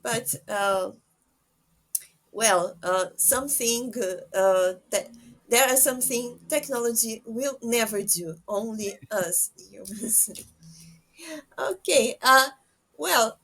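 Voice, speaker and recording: A woman's voice: a little high, slow, fairly bright tone. A woman hosting a casual interview, speaking hesitantly as she searches for words. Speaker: interviewer, podcast host.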